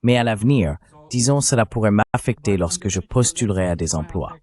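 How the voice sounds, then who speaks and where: A man being interviewed, answering in a calm, measured way, voiced over in French. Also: on the low side. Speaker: interviewee, protester.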